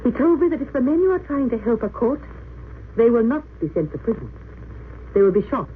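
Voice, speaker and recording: A woman's voice: on the high side, very animated, a little fast. A woman playing a principled English wartime nurse in an old radio drama, dignified, formal and earnest. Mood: resolute. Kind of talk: 1930s-40s radio drama acting. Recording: tinny, hissy old radio recording.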